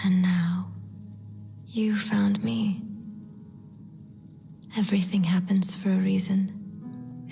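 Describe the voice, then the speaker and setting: An actress voicing a mysterious female guide who speaks only in a breathy, cryptic whisper, in a game cutscene. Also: medium pitch, warm tone. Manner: slow, measured, cryptic pronouncements. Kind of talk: video game cutscene voice acting.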